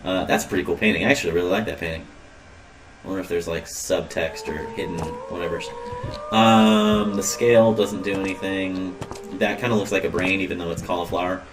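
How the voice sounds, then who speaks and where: A man's voice: low-pitched, steady tone, fairly quick, far from the mic. A man casually commentating on a game he is playing, rambling and joking, with lots of ums and uhs. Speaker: let's play host.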